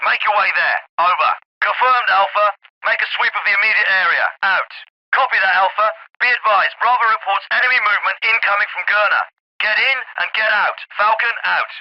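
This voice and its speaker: An actor playing an authoritative captain with a clipped, commanding delivery in a military video game. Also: male voice, high-pitched, brisk, no echo, dull audio. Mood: commanding, businesslike. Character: authoritative male Royal Navy special forces captain. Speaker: military officer, squad commander. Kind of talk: scripted military game dialogue.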